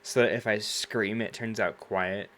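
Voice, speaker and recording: A young man with a fairly low voice chats in an easygoing way and laughs loudly and often. He talks close to a home streaming microphone. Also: fairly even intonation, a little slow. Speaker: podcast co-host. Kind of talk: casual chat.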